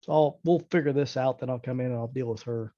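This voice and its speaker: Male tabletop player, dark mellow tone, mid pitch, casual table talk, muffled lo-fi recording. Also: fast. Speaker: player in a tabletop role-playing game. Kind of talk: casual game-table chat.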